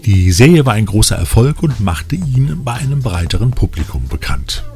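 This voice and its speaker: A man's voice: lowish voice, big pitch swings, normal speed. A man reading scripted narration as a podcast host. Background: background music.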